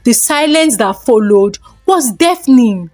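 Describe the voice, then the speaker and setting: A woman's voice: on the high side, expressive, measured pace, darkish tone, faint music behind. A woman storyteller giving a dramatic scripted narration of a folktale.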